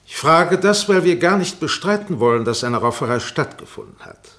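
An actor playing a sharp, sarcastic defense barrister in a radio courtroom drama, pressing, insinuating and commanding. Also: male voice, on the high side, expressive, normal speed. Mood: coolly probing. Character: sharp, sarcastic male defense barrister cross-examining a witness.

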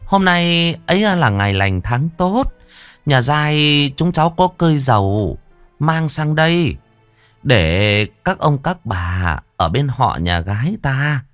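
A man's voice: fairly high pitch, very animated, takes it slowly, fairly bright tone, no echo, faint music behind, voice pushed up, full character voice. Man doing a sweet, formal matchmaker woman, raised lilting voice.

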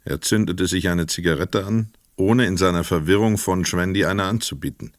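Deep male narrator, very dry sound. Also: moderate pace, no room sound. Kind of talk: scripted literary narration in a radio play.

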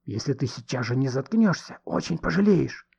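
A man's voice: on the high side, expressive, normal speed, a little mellow, dull audio, natural voice. A man voicing a cornered, hot-tempered male villain in an audiobook, rude and threatening. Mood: hissing, threatening. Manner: hissing and barking, rude.

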